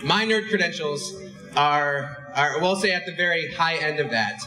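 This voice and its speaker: A man's voice: fairly high pitch, expressive, normal speed, bright, forceful tone. A young man telling a self-mocking story on stage to a live crowd, holding a handheld mic. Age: young adult. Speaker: company head and co-host. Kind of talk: stage anecdote to a live audience. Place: large dark stage in a hall. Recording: echoey hall.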